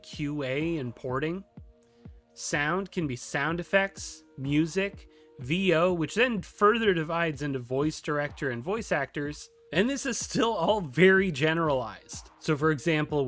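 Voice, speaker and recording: Male tutorial narrator, slightly high voice, methodical scripted explainer delivery over background music. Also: a bit bright. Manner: methodical explaining, lists items clearly. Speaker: video tutorial host.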